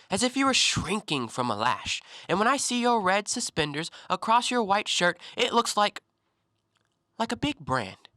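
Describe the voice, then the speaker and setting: An actor in an audio play, playing a talkative, self-assured intellectual who probes and needles with articulate, deliberate theatrical phrasing. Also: male voice, sits high, pushed and bright, no room sound, clean studio sound, exaggerated voice. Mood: probing, pointed. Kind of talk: stage-style audio play monologue.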